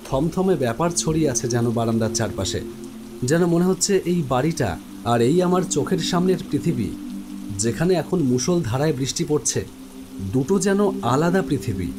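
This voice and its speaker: Male horror story narrator over music. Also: a little high. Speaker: first-person narrator.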